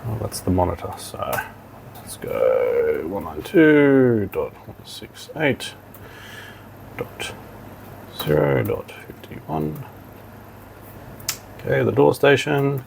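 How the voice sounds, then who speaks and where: A man giving an informal software tutorial, thinking aloud as he instructs step by step. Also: medium pitch, very animated, slow, vocal fry, long pauses, slight background noise. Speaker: technical demonstrator. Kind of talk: software tutorial walkthrough, talking while clicking.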